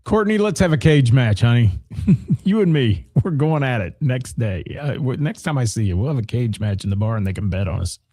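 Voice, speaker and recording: A man's voice: medium pitch, lively intonation, a little fast, warm tone, no echo, studio quality. A man co-hosting a radio talk show, chatting casually and reading listener comments, joking and rambling while losing his voice.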